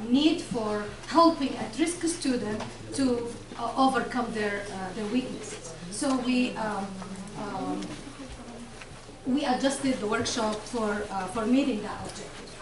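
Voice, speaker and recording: A woman's voice: a little high, measured pace, a crowd in the background. A woman giving a conference presentation, hesitant and full of fillers, recorded from the back of a large lecture room. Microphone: far camera. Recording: echoey room, recorded from far, audience noise.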